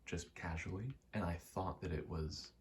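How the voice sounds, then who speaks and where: A young man giving a soft-spoken, halting, reflective ASMR ramble, telling personal stories in a hushed voice close to the mic. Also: sits low, steady tone, a little hiss or hum. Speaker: ASMR creator.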